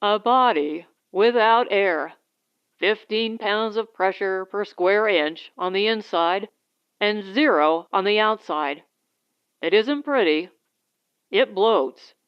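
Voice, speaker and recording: A woman's voice: medium pitch, slow pace, clear, resonant tone, highs cut off, close to an everyday voice. A woman voicing a protective husband who captains a small spaceship, giving blunt instructions in an audiobook story. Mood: grim.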